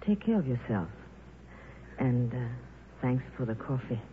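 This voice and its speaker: Wry, worldly film star, swooping intonation. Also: female voice, very deep voice, sing-song, unhurried, exaggerated voice. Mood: wistful. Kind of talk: 1950s radio drama acting. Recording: tinny, noisy old radio broadcast.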